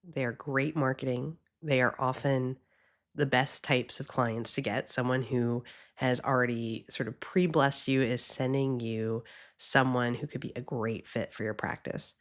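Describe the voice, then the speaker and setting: A woman's voice: rumbling low, fairly even intonation, measured pace. A woman in her thirties hosting a podcast in a casual solo talk, upbeat and chatty, a little sniffly from a cold. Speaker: podcast host.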